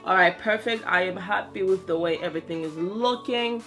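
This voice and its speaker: A woman's voice: fairly low pitch, moderate pace, fairly bright tone, faint music behind. A young woman whose first language is French, chatting through a makeup tutorial in a sassy, playful way with slang asides. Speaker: beauty vlogger.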